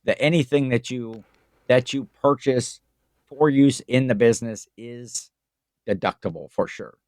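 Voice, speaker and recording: A man's voice: mid-range voice, unhurried, a little mellow, no room sound. Rambling podcast co-host man, dry sound. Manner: rambling, restarts with many fillers. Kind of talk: casual chat.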